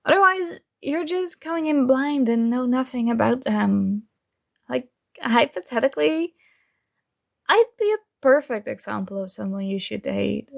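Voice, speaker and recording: Woman acting as jealous girl roommate in asmr roleplay, teasy but acting like she doesnt care, slow n creaky voice. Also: fairly high pitch, lively intonation, heavily acted. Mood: skeptical, prodding. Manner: playful teasing, hesitant, trailing pauses. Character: secretly jealous female roommate, teasing, hurt but feigning indifference. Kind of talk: ASMR roleplay, one-sided intimate conversation.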